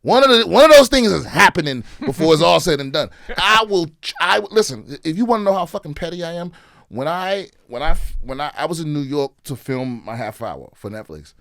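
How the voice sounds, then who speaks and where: A man's voice: on the high side, lively intonation, moderate pace, a bit bright, no room sound, studio quality. A man in casual podcast chat, ranting and swearing, with many restarts. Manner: ranting, profane, repeats and restarts. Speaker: comedian guest.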